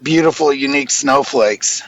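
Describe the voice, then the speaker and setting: A man's voice: medium pitch, normal speed, vocal fry, fairly bright tone, poor audio. An American man chatting casually as a podcast co-host, blunt and slangy, swearing heavily. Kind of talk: casual profane chat.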